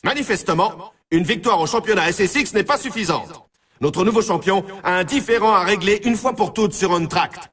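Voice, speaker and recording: An actor playing an excitable snowboard race announcer in a video game, emphatic and showy, heard slightly at a distance. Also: male voice, sits high, moderate pace, husky. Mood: dramatic hype. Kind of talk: scripted video game sports commentary.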